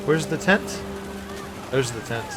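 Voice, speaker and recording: A male streamer giving relaxed, informal commentary on a game. He takes his time, thinking aloud in halting stretches with the odd exclamation, while music plays faintly underneath. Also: mid-range voice. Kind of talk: casual livestream commentary.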